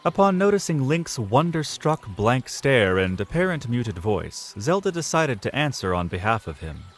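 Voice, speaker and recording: A man's voice: medium pitch, sing-song, moderate pace. A man narrating a scripted fantasy audio drama in a dramatic storytelling style.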